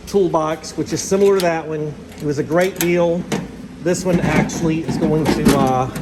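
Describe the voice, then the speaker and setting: Folksy man with clear background noise. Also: a little high. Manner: folksy, rambling, slangy. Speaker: host of a garage and farm vlog. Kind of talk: casual vlog chat, off the cuff. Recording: clearly noisy ambient background.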